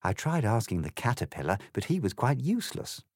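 A prim, well-mannered young girl, curious and a little fretful, speaking in genteel, earnest tones, as a male narrator puts her on. Her voice lifts high and lilting in a storybook parody, recorded dry and close. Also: sing-song, fairly quick, darkish tone, dry recording.

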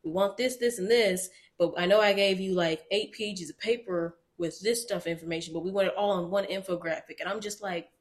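A woman co-hosting a casual podcast, telling stories in animated, quick bursts with frequent laughter. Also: medium pitch. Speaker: podcast co-host. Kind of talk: casual chat.

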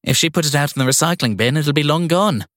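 A male narrator puts on a helpful guesthouse landlady for an audiobook. The voice is lifted high and moves briskly, courteous, cheery and quick to apologise. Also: fairly bright tone, no room sound, studio quality, natural voice. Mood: apologetic. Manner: solicitous, courteous.

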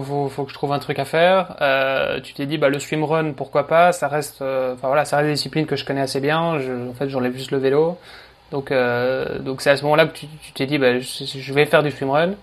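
A man's voice: a little high, level tone, fairly quick. A man hosting a podcast, asking long rambling questions in a casual tone. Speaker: podcast host.

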